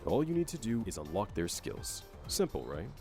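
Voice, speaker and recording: A man's voice: fairly low pitch, huge pitch swings, normal speed, gravelly, a little mellow. A man delivering scripted explainer narration for a video game update. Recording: faint music under narration. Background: faint music.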